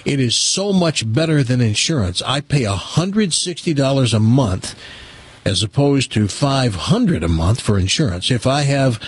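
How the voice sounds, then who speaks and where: Husky American man hosting talk radio. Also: medium pitch, sing-song, unhurried, dry recording. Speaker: radio talk show host. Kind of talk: casual live radio talk with ad reads and caller chat.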